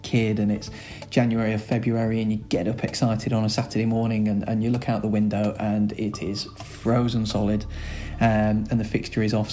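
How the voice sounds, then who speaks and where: A man with a Lancashire accent, a school's director of sport, answering podcast interview questions in a chatty, rambling way with many filler pauses. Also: lowish voice, warm tone. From Lancashire, England.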